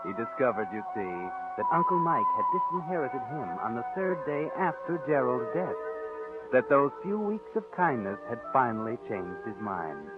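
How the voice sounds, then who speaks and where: An actor playing a sinister, taunting, all-knowing narrator in a 1940s radio mystery, on a vintage recording. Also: male voice, medium pitch, lightly acted. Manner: ominous, taunting, addresses the listener knowingly. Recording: dull vintage radio recording, loud music underneath.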